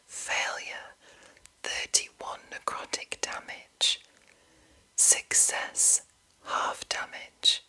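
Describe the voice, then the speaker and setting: A woman whispering an ASMR reading in a breathy voice, deliberate and broken into short phrases with pauses. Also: sharp tone. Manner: slow, deliberate, pausing between short phrases. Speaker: ASMR host reading game monster entries.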